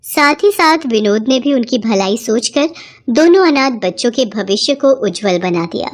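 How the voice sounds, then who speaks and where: A woman's voice: on the high side, expressive, normal speed. A woman narrating a scripted children's moral story as the storyteller.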